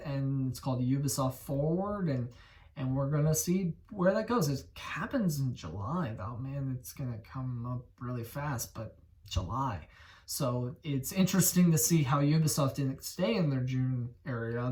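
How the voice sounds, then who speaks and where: Excitable young man rambling about games. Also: mid-range voice, recorded from a distance. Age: 20s. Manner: excitable, rambling, self-correcting. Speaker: gaming channel host. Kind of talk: casual gaming commentary.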